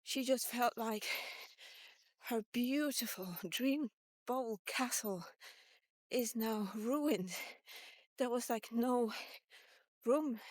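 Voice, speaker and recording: A woman in her fifties telling a personal story as a fairy tale, informally and bluntly, in short halting phrases. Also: on the high side, no echo. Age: 50s. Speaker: vlogger. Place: recorded while walking.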